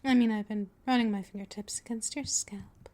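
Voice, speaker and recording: A woman's voice: a little high, steady tone, brisk, dark tone, close to an everyday voice. A woman playing a patient, possessive vampire mistress in a scripted ASMR roleplay, speaking in a coaxing, soothing, dominant manner that often drifts toward a whisper. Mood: soothing, hypnotic.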